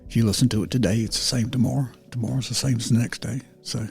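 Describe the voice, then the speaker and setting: Man, rambling podcast intro, mellow, mid-pitched, halting, informal. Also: steady tone, gravelly, husky, soft background music. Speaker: podcast host.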